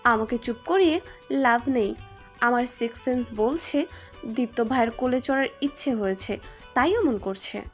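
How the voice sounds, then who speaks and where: Woman acting a high-pitched, sharp-tongued young woman. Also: expressive, moderate pace, soft background music, lightly acted. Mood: snide. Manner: pointed, argumentative.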